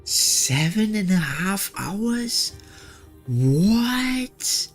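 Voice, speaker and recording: A man's voice: high voice, sing-song, drawn-out words, faint music behind. A man talking casually as a game streamer, thinking aloud in informal, slangy language. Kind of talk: casual gaming stream chat.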